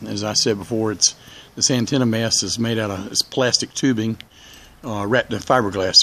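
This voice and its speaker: Male hobby vlogger, lowish voice, informal and rambling, talking outdoors behind a handheld camera. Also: fairly bright tone. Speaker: hobby radio video maker. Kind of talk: casual vlog narration while filming. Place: outdoors in a backyard.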